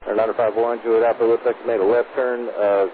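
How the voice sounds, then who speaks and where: Low, flat-voiced male controller on muffled radio. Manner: procedural, halting with pauses. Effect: aviation radio. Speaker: air traffic controller. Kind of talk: radio air traffic instructions. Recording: lo-fi noisy aviation radio transmission.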